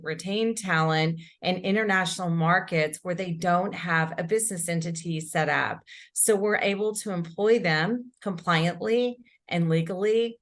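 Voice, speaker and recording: A woman's voice: lowish voice, fairly bright tone. A woman from Houston speaking as an interview guest, upbeat and conversational, often repeating her phrases. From Houston, Texas. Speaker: guest, company director.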